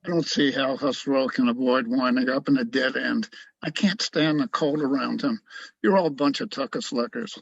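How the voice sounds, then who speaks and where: A man's voice: mid-range voice, normal speed, vocal fry, slightly rough, no room sound, lo-fi sound. A man acting in a radio play as a cocky, awkward young philosophy student, emphatic and argumentative. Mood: cocksure.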